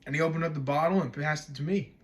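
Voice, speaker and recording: A man performing a dramatic stage monologue as a wistful man recalling young love, telling it reflectively in short, measured phrases. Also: a little high, close to an everyday voice. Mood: wistful, hushed. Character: wistful man haunted by a childhood night of love.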